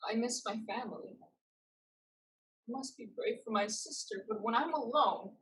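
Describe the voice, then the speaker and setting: A woman's voice: on the high side, unhurried, a bit bright, low-quality recording. A woman playing a proud, determined young woman, firm and earnest in a theatrical staged reading. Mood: earnest, wistful. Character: proud, determined young woman pirate-in-training, devoted to family.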